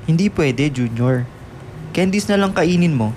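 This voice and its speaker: A man playing a loving, patient middle-aged father in a radio drama, with a gentle, coaxing manner. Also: on the high side, expressive, a little slow, a little hiss or hum. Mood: amused, coaxing.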